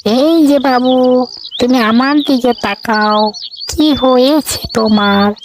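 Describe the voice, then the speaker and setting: A kindly little magic bird played by a man from a cartoon fairy tale. The voice is high and unhurried, soothing and coaxing, with birdsong and noise behind it. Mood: gentle concern.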